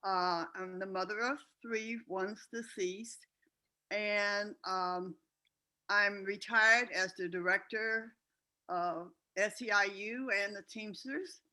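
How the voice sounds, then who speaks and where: A woman's voice: medium pitch, steady tone, slow, sharp tone. A woman in her seventies giving a plain, matter-of-fact introduction of herself as a panelist on a group call. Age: 70s. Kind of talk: casual self-introduction.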